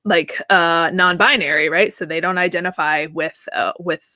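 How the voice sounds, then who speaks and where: A woman in her thirties, a therapist guest answering at length in a casual, animated way, laughing easily and often checking in with "right?". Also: lowish voice, moderate pace, dry recording. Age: 30s. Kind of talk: conversational interview answer.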